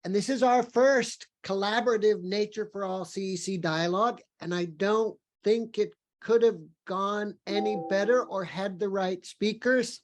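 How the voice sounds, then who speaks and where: Appreciative woman, medium pitch. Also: measured pace, few pauses. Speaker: commission chair. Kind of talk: informal closing remarks at an online panel.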